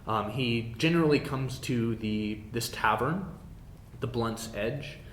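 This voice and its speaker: A man narrating a tabletop game as game master in a halting, informal way. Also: mid-range voice. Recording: roomy echo, clear background noise.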